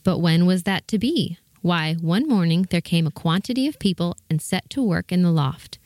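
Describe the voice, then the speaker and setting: A woman reading a scripted children's story as narrator, in a measured, expressive manner. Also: fairly low pitch, no echo, studio quality.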